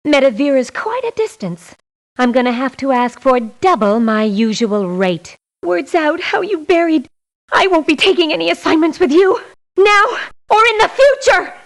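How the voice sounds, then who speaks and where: A blunt, sassy young female mercenary from a video game. Her voice sits fairly high and swings widely, with slow, emphatic delivery of each line. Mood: dismissive. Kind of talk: video game character voice lines. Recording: muffled lo-fi game audio clips.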